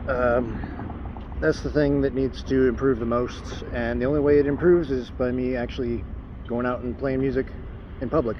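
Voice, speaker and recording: A man's voice: mid-range voice, fairly even intonation, normal speed. A man vlogging to camera outdoors, rambling and self-doubting with many ums, his voice worn from singing. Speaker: travel vlogger. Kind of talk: casual vlog talk to camera. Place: outdoors by roads and stores. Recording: slightly dull outdoor camera audio with clear street noise.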